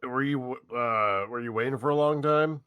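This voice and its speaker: A man's voice: mid-range voice, moderate pace, clean tone, phone-quality sound, natural voice. A man reading the part of an awkward teenage boy in a casual staged reading, stammering and repeating himself nervously. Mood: flustered. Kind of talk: casual script read-aloud.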